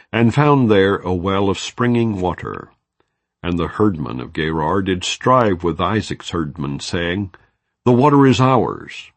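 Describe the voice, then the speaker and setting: A man giving a measured, solemn reading of scripture, recorded in a dry studio. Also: low-pitched, no room sound. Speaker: Bible reader.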